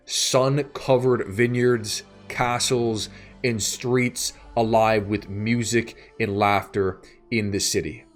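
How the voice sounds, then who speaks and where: A man narrating a scripted video essay in a measured way, pausing between short phrases. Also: mid-range voice. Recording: music bed behind the voice. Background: background music.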